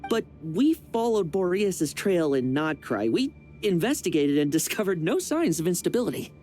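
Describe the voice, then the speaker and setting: A woman's voice: on the low side, big pitch swings, normal speed, dark tone, soft background music. An actress playing an earnest, practical female adventurer in a video game. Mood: questioning.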